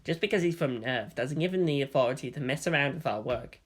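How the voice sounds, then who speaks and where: A narrator voicing a resentful studio worker who mutters softly. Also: medium pitch, expressive, fast, pitched below the performer's own voice, lightly acted. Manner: soft, muttering.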